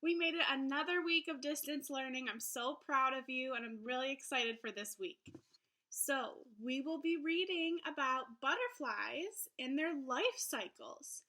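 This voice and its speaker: A woman teacher reading aloud to young children in an encouraging, expressive storybook manner. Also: high-pitched, a little slow. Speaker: elementary school teacher. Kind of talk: children's read-aloud lesson.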